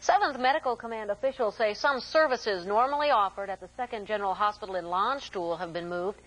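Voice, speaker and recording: A woman with an American accent anchors a news broadcast. Her voice sits at a medium pitch. She reads scripted copy in a formal, measured announcer style. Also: a bit bright, a little hiss or hum, low-quality recording. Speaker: military network news anchor.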